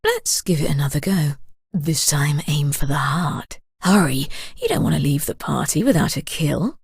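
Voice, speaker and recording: A wicked game temptress, low-pitched, breathy, coaxing, gleefully sinister, lively intonation. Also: female voice, normal speed, no room sound, clean studio sound, heavily acted. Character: sly, wicked temptress gleefully egging killers on. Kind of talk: scripted game voice lines.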